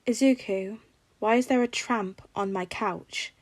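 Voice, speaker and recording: A woman's voice: medium pitch, measured pace, no echo, natural voice. A woman voicing an exasperated retired male villain and father in a storybook reading, with a dry, sardonic manner.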